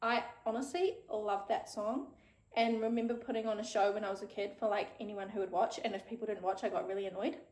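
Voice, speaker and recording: A woman's voice: medium pitch, fairly even intonation, fast, a little mellow. Woman, New Zealand accent, thirties, frank casual chat, swears freely, echoey room. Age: 30s. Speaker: podcast host.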